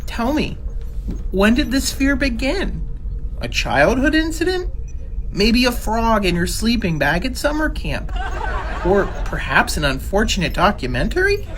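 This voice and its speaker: A woman's voice: on the high side, very animated, unhurried, noisy background. An actress playing an earnest, fretful, analytical female spaceship crew member in a sci-fi comedy radio drama. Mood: earnest, counselling.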